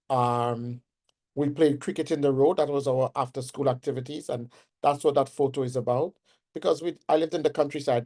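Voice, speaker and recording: Trinidadian man, forties, warm-toned conversational lecturer. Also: medium pitch. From Trinidad and Tobago. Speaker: guest speaker, nursing professor. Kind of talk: webinar lecture.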